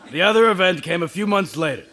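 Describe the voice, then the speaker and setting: A man's voice: high-pitched, expressive, fairly bright tone. A man narrating and explaining a story in a radio play. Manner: storytelling, measured. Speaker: senior angel narrating.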